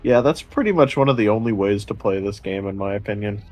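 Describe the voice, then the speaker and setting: A man's voice: on the low side, fast, darkish tone, soft background music. A man co-commentating a casual live stream over voice chat, wry and sardonic.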